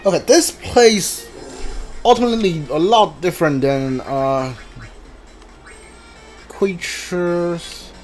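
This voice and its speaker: A gamer talks through a live playthrough in a casual, unhurried voice of medium pitch. The delivery is halting, with thoughts spoken aloud and muttered asides, while game music plays behind. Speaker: video game player and commentator. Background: video game music.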